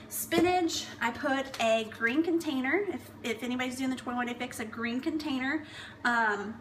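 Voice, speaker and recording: A young woman fitness coach giving chatty, instructive meal-prep tips in her living room, often checking in with the viewer. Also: a little high. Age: young adult. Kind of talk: casual how-to vlog. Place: open-plan living room at home, indoors. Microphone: camera mic from a few feet away. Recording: echoey room, distant mic, steady hiss.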